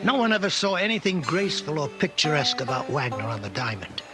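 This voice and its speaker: A man reading old-fashioned sportswriting in a measured, literary documentary style. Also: fairly high pitch, sing-song. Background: music.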